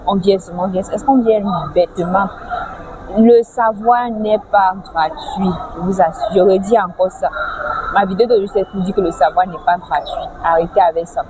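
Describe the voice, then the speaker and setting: A woman's voice: medium pitch, fairly even intonation, normal speed. Woman vlogger, lecturing over traffic noise. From French-speaking Africa. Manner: lecturing, rhetorical questions, many restarts. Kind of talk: casual advice vlog. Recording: clear background noise, vehicles.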